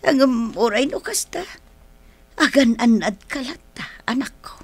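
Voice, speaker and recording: A woman playing an elderly, doting and watchful grandmother in a radio drama, husky, with an Ilocano accent. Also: high-pitched, animated, normal speed, no room sound. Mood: concerned, probing.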